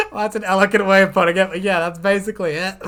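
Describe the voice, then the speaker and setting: A woman's voice: medium pitch, fairly even intonation, fast talker, fairly bright tone. A woman chatting casually and jokily as a co-host, swearing freely and prone to giggling. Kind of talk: casual banter, reacting to fan comments.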